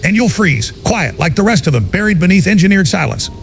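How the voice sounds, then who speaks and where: A man's voice: sing-song, brisk, gravelly, faint music behind, full character voice. A man playing a paranoid conspiracy broadcaster, urgent, insistent and accusatory, in an apocalyptic radio drama. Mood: grim warning. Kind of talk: apocalyptic radio rant, fictional audio drama. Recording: crackly static-laden radio broadcast, rumbling noise.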